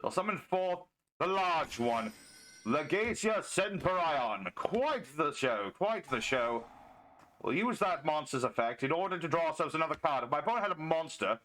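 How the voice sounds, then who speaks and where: A man's voice: a little high, very animated, moderate pace, fairly bright tone. A man playing a pompous, chivalrous medieval knight, commentating on a card game in mock-archaic speech. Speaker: gaming video host and player.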